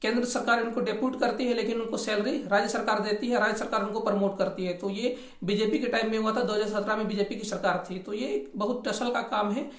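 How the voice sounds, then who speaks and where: A man's voice: high-pitched, fairly even intonation, quick pace, hardly any pauses, fairly bright tone. A man presenting an informal news explainer, repeating points and mixing English terms into his Hindi. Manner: repetitive, mixes in English terms. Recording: echoey room recording.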